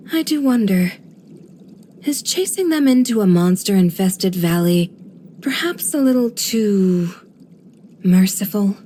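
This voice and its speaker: Game role: actress as serene female village guardian, kindly and dutiful, unhurried speech, mid-pitched, light background noise. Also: lightly acted. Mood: calm, musing. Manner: measured, gentle, formal storytelling delivery. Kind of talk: scripted video game character voice lines.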